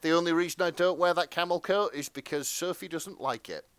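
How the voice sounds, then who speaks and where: A man co-hosting a podcast, chatting casually with friends in a deadpan, self-deprecating way. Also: a little high, a little fast, crowd noise. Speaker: podcast co-host.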